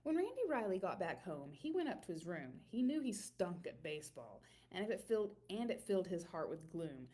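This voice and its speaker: A woman reading a children's picture book aloud in an expressive storytelling manner, with dramatic emphasis and drawn-out words. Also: lowish voice.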